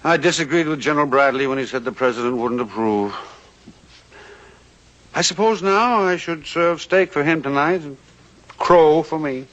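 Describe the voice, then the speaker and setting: A man's voice: fairly high pitch, moderate pace, long pauses, fairly bright tone, natural voice. An American man playing a banker's worried, skeptical friend in a vintage television western, questioning and wry. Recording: dull old television soundtrack, faint music.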